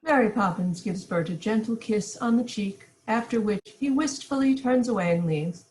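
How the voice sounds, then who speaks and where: A woman's voice: medium pitch, moderate pace, a little mellow, a little hiss or hum, poor audio. A woman reading stage directions as narrator in an amateur script read-through.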